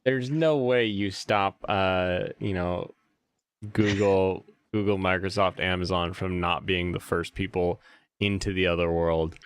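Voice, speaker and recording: A man chatting as a guest on an online show, riffing quick jokes and laughing. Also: low-pitched, steady tone, slight background noise. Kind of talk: casual chat.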